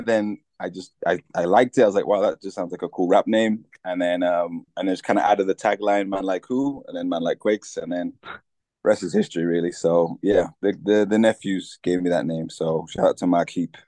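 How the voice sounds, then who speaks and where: A man's voice: low-pitched, moderate pace, warm tone, no echo. A man, a guest in a casual interview who talks easily with many fillers and restarts. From Tanzania.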